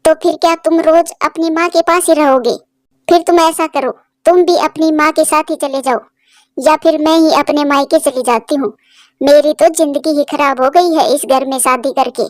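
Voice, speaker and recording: A woman voicing a bossy, quick-tempered young bird wife in a cartoon, with a nagging, insistent manner. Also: high voice, steady tone, no room sound, clean studio sound, natural voice. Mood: angry, complaining.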